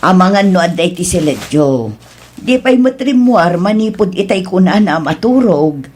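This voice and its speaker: A woman's voice: on the low side, lively intonation, normal speed, darkish tone, slight background noise, natural voice. A woman with an Ilocano accent acting a concerned mother of a grown son in a radio drama.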